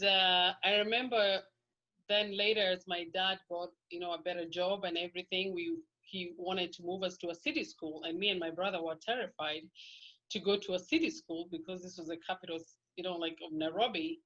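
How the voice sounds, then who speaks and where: Kenyan woman, middle-aged, lowish voice, rambling interview guest, dull lo-fi recording. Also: a bit bright. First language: Kikuyu. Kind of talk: casual life-story interview.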